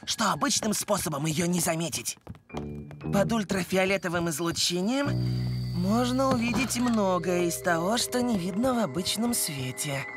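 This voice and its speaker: Lady doing earnest brainy schoolboy, cartoon hero, music loud underneath. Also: fairly low pitch, lively intonation, normal speed, natural voice. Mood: explaining. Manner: earnest, explanatory. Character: earnest, clever schoolboy hero, male child. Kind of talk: animated cartoon acting.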